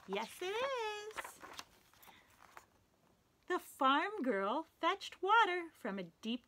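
Young woman, children's story time reader, high voice, slow and sing-song, playful animal noises, small indoor room. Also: sharp tone. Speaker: children's librarian.

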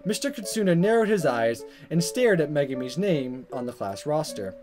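A man's voice: on the high side, lively intonation, moderate pace. A man reading a visual novel aloud in a dramatic way, sometimes stumbling over words and repeating them. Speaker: gaming video maker. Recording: guitar music behind. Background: game music with plucked guitar.

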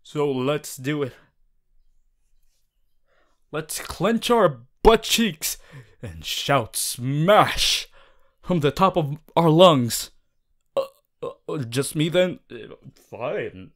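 Boisterous young male hero-in-training played by a man for roleplay audio: slow delivery, very animated pitch, theatrical and cheery. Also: full character voice. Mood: earnest, upbeat. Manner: halting, theatrical, breaks into booming heroic declarations. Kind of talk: ASMR audio roleplay to a listener.

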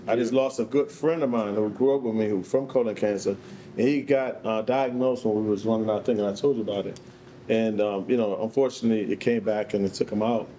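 A middle-aged man with a lowish, very dark and mellow voice gives an informal personal testimonial. Faint room noise sits behind him. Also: fairly even intonation, a little fast. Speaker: barber speaking at community health event. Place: large bare concrete rehearsal hall.

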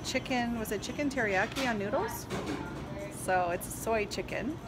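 A woman's voice: on the high side, expressive, normal speed, loud music behind the voice. A woman in her thirties talking to a phone camera at arm's length, reviewing a restaurant in a chatty, enthusiastic way. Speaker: food vlogger. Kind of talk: casual restaurant review vlog. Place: indoors in a restaurant, later outdoors by a parking lot. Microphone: phone camera held at arm's length.

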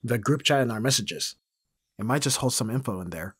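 A man's voice: medium pitch, animated, a little fast, a bit bright, slightly rough, no echo, studio quality. A man playing a flustered, awkward young best friend in an intimate ASMR roleplay, speaking hesitantly with sighs and stammers. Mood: puzzled, piecing together. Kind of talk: ASMR roleplay, one-sided intimate dialogue.

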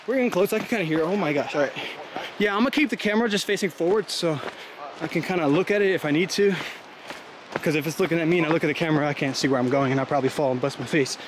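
A man's voice: on the high side, racing, noisy background. A young man chatting and joking while hiking on a wooded trail, out of breath, filming himself with a handheld camera. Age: young adult. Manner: chatty, joking, rambling with fillers. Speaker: vlogger. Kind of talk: casual vlog while hiking. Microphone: handheld camera at arm's length.

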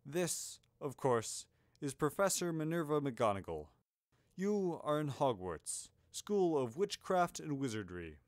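A man's voice: a little high, very animated, no room sound, clean studio sound, pitched above the performer's own voice. A man voicing a grandfatherly old wizard headmaster in an audiobook story, courteous, wordy and a little puzzled. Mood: courteous, stately. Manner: genteel, wordy, halting over asides.

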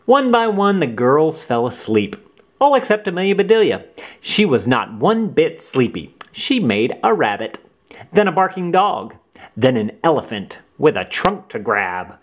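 Guy reading a kids book out loud, slow n careful, really animated up and down voice. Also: on the high side, muffled recording. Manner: expressive, deliberate phrasing for young listeners. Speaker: children's book reader and channel host. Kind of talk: animated read-aloud storytelling for children.

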